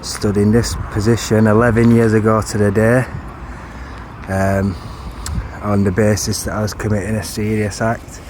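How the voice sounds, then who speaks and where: A man's voice: on the low side, level tone, normal speed, a little mellow. A man, talking to camera outdoors by a street, repeating and correcting himself insistently. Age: 30s. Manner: repetitive, restarting, insistent. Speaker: vlogger. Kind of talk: first-person video to camera. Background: traffic noise.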